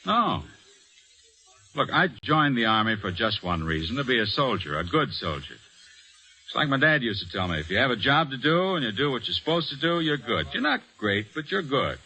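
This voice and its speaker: An actor playing an earnest, plain-spoken young Army corporal in an old-time radio drama. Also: male voice, mid-range voice, sing-song, normal speed, sharp tone. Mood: earnest, insistent. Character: earnest, determined young Army corporal telling his story. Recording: noisy old radio broadcast recording.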